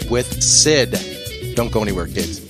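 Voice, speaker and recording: A man's voice: on the low side, lively intonation, slow, loud music behind the voice. A man hosting a radio talk show, casual and brash, ranting and effusive, from a studio. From East Los Angeles.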